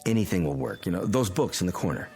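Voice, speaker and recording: An actor playing a calm, methodical physicist in a radio drama, measured and giving instructions. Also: male voice, low voice, faint music behind. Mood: methodical, instructing. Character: calm, methodical male physicist friend investigating a mystery.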